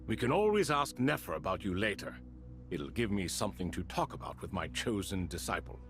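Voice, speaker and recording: An actor playing an ancient, grand and wry elder in a video game, speaking in theatrical, courtly phrases. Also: male voice, fairly low pitch, sing-song, a little fast, fairly bright tone, soft background music. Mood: wry, playful. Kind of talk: game voice acting.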